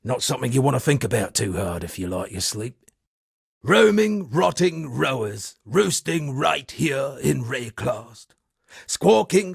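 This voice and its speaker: A man voicing a roguish shipwrecked sea captain and amateur poet in a video game, with a gravelly, husky voice and a wry, flowery storytelling manner. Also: on the high side, no room sound, studio quality, full character voice. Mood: florid, theatrical. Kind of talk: video game character dialogue.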